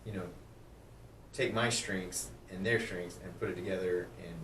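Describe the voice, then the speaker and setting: A man's voice: sits low, steady tone, moderate pace, a bit bright, echoey room, recorded from a distance, slight background noise, low-quality recording. A man, a podcast guest who talks in an easygoing way and laughs often. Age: 30s. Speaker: podcast guest, sales manager. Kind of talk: casual chat.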